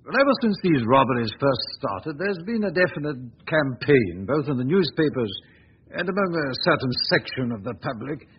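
An actor playing a hesitant, flustered police chief who keeps saying "uh" and clearing his throat, in 1940s radio acting. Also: mid-range voice, very animated, normal speed. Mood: worked-up. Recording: dull lo-fi 1940s radio recording.

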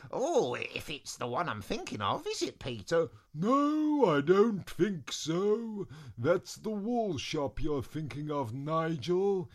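A storyteller voicing a booming, chatty and easily muddled wizard from a children's storybook. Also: very animated, slow, full character voice.

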